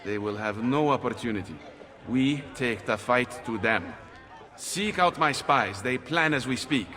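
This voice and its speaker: An actor playing a shrewd, resolute leader of a thieves' guild in a video game, with an Italian accent. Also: male voice, medium pitch, animated, unhurried, bright, forceful tone, long reverb tail. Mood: determined. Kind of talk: dramatic video game cutscene acting.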